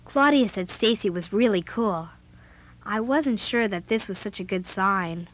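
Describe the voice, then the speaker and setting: A woman's voice: mid-range voice, animated, unhurried. A young woman playing a bossy, outspoken American girl in a children's audio drama, with blunt, emphatic delivery. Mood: eager, organizing.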